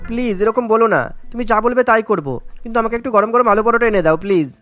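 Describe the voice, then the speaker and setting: A man's voice: very high voice, racing, fairly bright tone, soft background music. A man voicing a young husband who craves food, coaxing and wheedling in a cartoon. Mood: pleading. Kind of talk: animated cartoon story voice acting.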